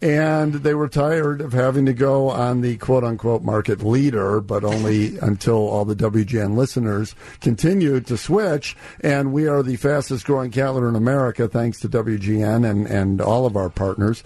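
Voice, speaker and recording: A man's voice: mid-range voice, steady tone, normal speed, few pauses, dark tone, no room sound. Dry-sounding male executive explaining his product. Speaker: company CEO, guest on radio show. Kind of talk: conversational product promotion.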